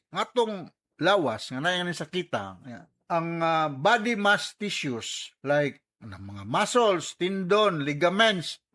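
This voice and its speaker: Male radio host, Cebuano accent, fairly high voice, chatty advice style, joking asides, English phrases mixed in. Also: fairly bright tone.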